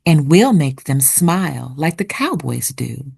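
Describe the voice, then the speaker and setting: A woman narrating for children like a teacher, with deliberate, expressive enunciation. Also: low voice, vocal fry, breathy edge. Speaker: read-aloud host and narrator. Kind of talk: children's picture book read-aloud with vocabulary teaching.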